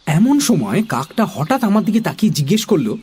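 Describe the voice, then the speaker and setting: Casting: male actor as wide-eyed young narrator for a children's story, high-set voice, bouncy intonation. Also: very animated, normal speed, a little mellow, husky, faint music behind, full character voice. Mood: animated.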